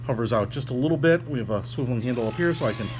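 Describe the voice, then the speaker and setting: A man's voice: medium pitch, expressive, very fast. A man giving a casual, ad-libbed product review in an informal, chatty way with a bit of slang. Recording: clearly noisy recording with hum.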